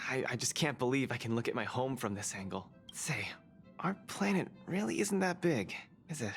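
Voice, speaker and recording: A man's voice: medium pitch, expressive, normal speed, vocal fry. A man voicing an earnest, friendly young fighter in a video game, full of wide-eyed wonder. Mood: wistful, friendly. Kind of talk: video game voice acting, conversational dialogue. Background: music.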